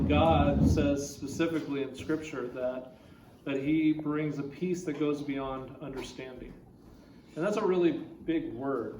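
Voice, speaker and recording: A man's voice: medium pitch, dark tone. A man serving as pastor, leading a memorial service in plain words with many fillers. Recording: echoey hall, far from mic, noisy.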